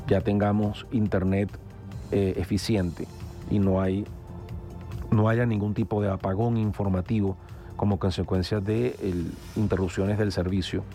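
Deep-voiced Venezuelan radio host. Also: male voice, dark tone. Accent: Venezuelan Spanish. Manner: measured, with frequent hesitations and fillers. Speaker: radio news analysis host. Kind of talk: radio news commentary. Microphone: studio microphone.

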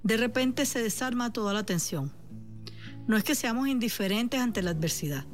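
A woman with a Venezuelan accent speaking on the radio as a therapist, calm and reassuring, addressing the listener directly. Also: a little high, moderate pace, soft background music. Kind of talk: supportive radio talk.

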